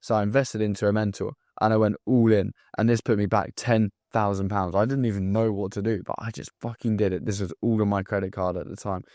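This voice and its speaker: A man in his twenties from England with a southern English accent, talking straight to camera as a sales coach in a blunt, profane, hyped-up motivational pitch. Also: fairly even intonation, brisk, few pauses, a little mellow, dry recording, clean studio sound. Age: 20s. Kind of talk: direct-to-camera pitch video.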